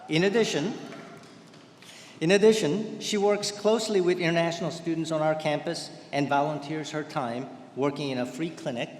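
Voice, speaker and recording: A man giving a formal commencement speech in a measured, ceremonial way, speaking into a podium microphone in a large indoor venue. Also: on the high side, a little hiss or hum. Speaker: ceremony presenter. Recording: echoey hall, faint ambience.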